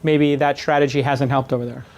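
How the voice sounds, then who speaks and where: A man's voice: mid-range voice, level tone, brisk, darkish tone. A middle-aged man moderating a seminar, making casual interjections. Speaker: host moderating audience questions. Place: classroom-style seminar room.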